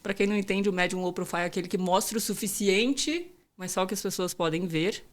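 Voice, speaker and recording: A woman hosts a casual podcast interview. Her mid-pitched voice sounds upbeat and chatty as she warmly draws out her guest. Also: quick pace. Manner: upbeat, chatty, uses informal "tu". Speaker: podcast host.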